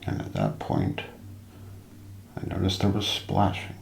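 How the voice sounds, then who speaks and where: A man narrating a scripted mystery documentary as an ASMR role play, in a hushed, deliberate, suspenseful manner. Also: sits low, big pitch swings, gravelly. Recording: roomy echo, light background noise.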